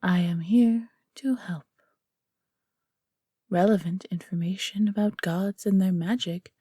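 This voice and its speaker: Woman as a stone golem servant, measured formal speech, breathy husky tone, dry sound, fantasy roleplay. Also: mid-range voice, darkish tone, no room sound. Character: helpful stone golem librarian, flat and dutiful servant.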